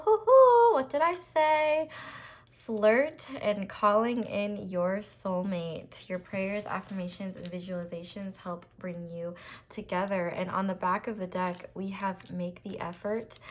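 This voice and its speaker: A woman with a slightly raspy voice doing a casual, reassuring tarot reading to camera, with frequent ums and restarts. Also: mid-range voice, slight background noise. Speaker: tarot reader.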